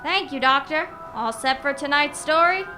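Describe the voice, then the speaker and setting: Woman as bright, high-voiced radio host. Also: normal speed, soft background music. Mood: eager, curious. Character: Mister Foreman, eager, friendly male radio host. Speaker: story-prompting announcer. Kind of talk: old-time radio drama acting.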